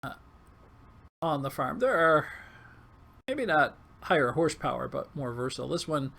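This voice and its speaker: Guy doing casual game commentary, really hoarse gravelly voice like its giving out, kinda high, rambles and pauses. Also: big pitch swings, pushed and bright. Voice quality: coarse, hoarse, squawky. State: hoarse, losing his voice. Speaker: gaming video host.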